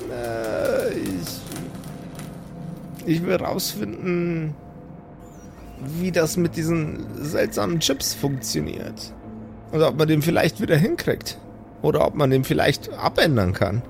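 A man plays a thoughtful woman doctor in an improvised audio drama. The speech is hesitant and pondering, with lively swings in pitch over clear background music. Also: takes it slowly, full character voice. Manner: hesitant, pondering, repeats phrases. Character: thoughtful, curious female doctor tinkering with tech.

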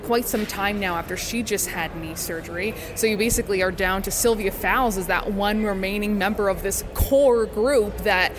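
A woman's voice: medium pitch, normal speed, hardly any pauses, background noise. A woman sports broadcaster giving thoughtful, articulate interview answers in an earnest way.

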